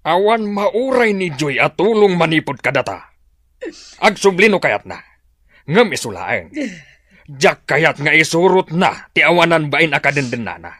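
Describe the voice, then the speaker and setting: A man's voice: fairly high pitch, sing-song, unhurried, pushed and bright, dry recording, clean studio sound, full character voice. An actor playing a stern, hot-tempered older father in a radio drama, scolding and indignant. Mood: angry, bitter. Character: irascible, stern father, middle-aged or older.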